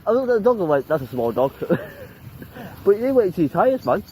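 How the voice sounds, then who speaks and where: A man's voice: high voice, sing-song, a little fast, warm tone. 18 yr old guy rambling and laughing, swears a lot, bit of outdoor noise. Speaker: vlogger. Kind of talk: casual chat while out in the snow. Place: outdoors on a snowy road.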